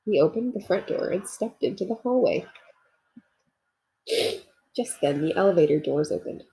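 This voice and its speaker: Husky, animated woman reading a storybook. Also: medium pitch, normal speed, low-quality recording. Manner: expressive, addresses young listeners. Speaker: storybook reader. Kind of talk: children's read-aloud narration with asides.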